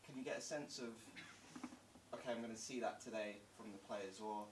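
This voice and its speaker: A sports journalist with a lowish voice asks curious, casually phrased questions. He sounds far off in an echoing room, and clear noise sits under his voice. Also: a little fast. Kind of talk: press conference questions. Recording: echoey room from a distance, noisy.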